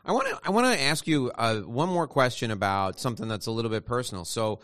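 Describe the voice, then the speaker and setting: A man's voice: mid-range voice, expressive, fast, a bit bright, no echo, clean studio sound. Friendly male show host asking questions. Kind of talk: interview.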